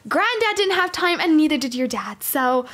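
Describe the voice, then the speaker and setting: A spoiled, easily exasperated rich schoolgirl and young mum played by a woman. She has a high voice and sighs and grumbles to herself as she goes through an improvised game roleplay. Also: very animated, moderate pace, a bit bright. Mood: coaxing, exasperated. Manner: sighing, complaining, talking to herself.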